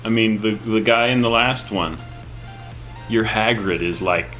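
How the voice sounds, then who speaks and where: A man with a deep, clear voice chatting casually before a tabletop game, laughing easily as he tells stories. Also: a little slow, pushed and bright, faint music behind. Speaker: player. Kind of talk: casual pre-game chat.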